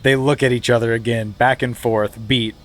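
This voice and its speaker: A man's voice: mid-range voice, steady tone, normal speed. A man, a podcast co-host, narrating stage directions in a comedic script reading, now and then stifling laughter.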